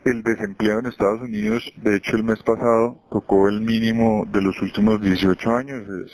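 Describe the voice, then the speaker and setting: A man with a Colombian accent giving financial commentary as an investment executive, in a measured explanatory way with frequent self-corrections. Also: on the low side, rough recording. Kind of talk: market commentary on a conference call.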